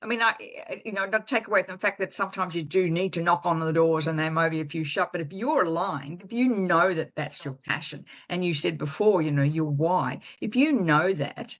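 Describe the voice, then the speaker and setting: A woman's voice: on the low side, brisk, few pauses, dull audio. A middle-aged woman hosting an interview, chatty and enthusiastic, often restarting her sentences. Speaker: podcast host. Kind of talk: casual interview chat.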